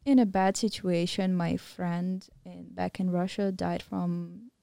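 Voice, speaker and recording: Young woman guest, mellow tone with some fry, casual storyteller. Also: on the low side, steady tone, slow, no echo. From Mongolian-German, living in Thailand. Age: young adult. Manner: candid, storytelling with many fillers. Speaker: podcast guest. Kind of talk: casual interview chat.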